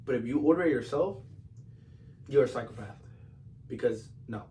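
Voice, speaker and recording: A man's voice: mid-range voice, animated, moderate pace, dark tone, a little hiss or hum. A tired man co-hosting a casual podcast, laid-back and joking. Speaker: podcast co-host. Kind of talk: casual chat. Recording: distant mic.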